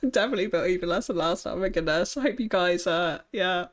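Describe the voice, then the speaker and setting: A young woman with a high-pitched voice chatting on a live stream, bubbly and giggly, often thanking viewers. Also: quick pace. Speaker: streamer building a city. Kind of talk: casual live-stream chat.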